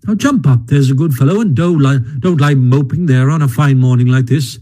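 A man's voice: mid-range voice, a little fast, warm tone, close to an everyday voice. A man reading a storybook aloud and voicing a kindly but blunt male water rat who speaks in a cheerful, hearty way. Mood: hearty, coaxing.

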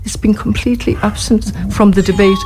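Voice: medium pitch, fairly even intonation, a little fast, darkish tone, soft background music. An interview guest from Ireland with an Irish accent, speaking passionately and thoughtfully, often restarting phrases. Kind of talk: earnest conversational interview answers.

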